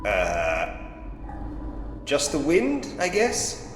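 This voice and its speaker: An actor playing a sceptical, dryly witty Edwardian gentleman investigator in a point-and-click mystery game. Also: on the high side, wildly swooping pitch, drawn-out words, exaggerated voice. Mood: uneasy. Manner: wry, muttering to himself, old-fashioned gentlemanly phrasing. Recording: echoey hall reverb, music underneath.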